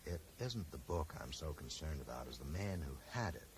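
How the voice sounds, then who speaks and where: An actor playing a courteous, probing bookseller who questions people in a measured, polite way in a 1980s radio drama. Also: male voice, a bass voice, very animated. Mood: earnest, courteous. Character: probing, polite bookseller investigating a stranger's death. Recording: slightly noisy old radio broadcast recording.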